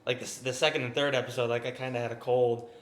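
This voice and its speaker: A man in a casual group chat, easygoing and animated when telling stories. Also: medium pitch, fast talker. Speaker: voice actor on the show. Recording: echoey room.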